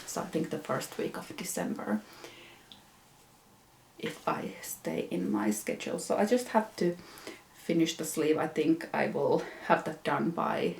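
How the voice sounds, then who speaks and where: A woman's voice: sits low, very animated, a little slow, vocal fry, darkish tone, reverberant, slight background noise. A young woman from Finland with a Finnish accent, hosting a knitting podcast and showing her projects in a hesitant, casual way with many fillers. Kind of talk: casual show-and-tell.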